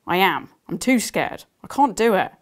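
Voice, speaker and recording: A soft, hesitant ten-year-old girl from a storybook, voiced by a woman reading aloud. She sounds shy and anxious. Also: fairly low pitch, lively intonation, normal speed, natural voice. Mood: nervous.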